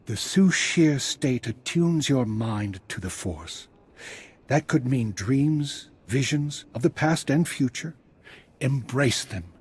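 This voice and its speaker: A man playing an eccentric, visionary Jedi master in a video game, guiding a student with expressive, theatrical delivery in a husky, rough-edged voice. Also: mid-range voice, slow, full character voice. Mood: calm, guiding. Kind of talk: scripted video game dialogue.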